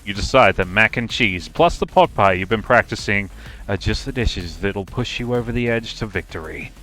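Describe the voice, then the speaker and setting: A man's voice: fairly low pitch, lively intonation, normal speed, a bit bright. A man narrating a game as a live streamer, reading aloud with casual, sweary reactions. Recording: faint music behind.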